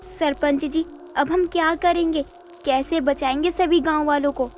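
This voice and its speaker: A woman plays an anxious, respectful village wife in a cartoon moral tale. Her voice is high, and music plays behind her. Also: steady tone, unhurried. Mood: worried. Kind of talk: animated Hindi story dialogue.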